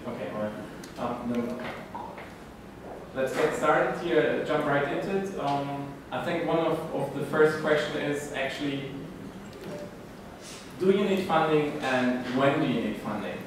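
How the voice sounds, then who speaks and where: A man moderating a startup panel in a room with an audience, talking haltingly with many ums and restarts. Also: fairly high pitch. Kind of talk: hesitant panel hosting. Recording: echoey room, distant mic, background noise.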